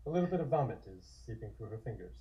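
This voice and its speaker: A man's voice: medium pitch, sing-song, rapid-fire, highs cut off. A man reading scripted scene narration for a comic table read.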